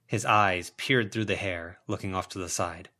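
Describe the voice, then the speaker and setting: A man's voice: deep voice, moderate pace, bright, forceful tone. A man reading fiction as an audiobook narrator, in a scripted storytelling style. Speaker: audiobook reader.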